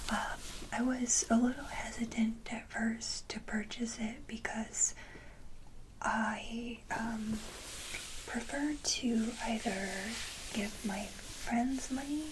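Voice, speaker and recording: A woman hosting an ASMR video, speaking casually in a breathy, gentle whisper, in short halting phrases. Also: on the high side, steady tone, background noise. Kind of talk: soft-whispered ASMR, casual show-and-tell.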